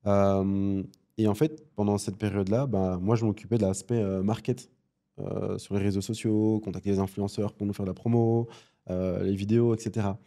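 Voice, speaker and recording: A man in his thirties from Belgium, a podcast guest talking casually in a studio, halting with many fillers. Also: fairly low pitch, flat delivery, vocal fry, warm tone. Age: 30s. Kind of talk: casual interview chat.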